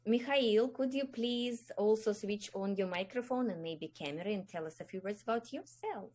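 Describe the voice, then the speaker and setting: A woman speaks as the host of an online meeting, courteous and a little hesitant. She fills her pauses with small sounds. The voice comes through a video call. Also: mid-range voice, darkish tone. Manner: polite, hesitant with fillers. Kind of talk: casual chat.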